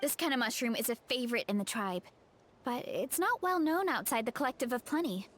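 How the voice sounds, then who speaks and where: A woman's voice: a little high, brisk. An actress voicing a friendly, easygoing young woman from a tribe who cooks and laughs easily. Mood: enthusiastic. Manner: relaxed, generous, laughs easily. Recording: game audio with faint background music.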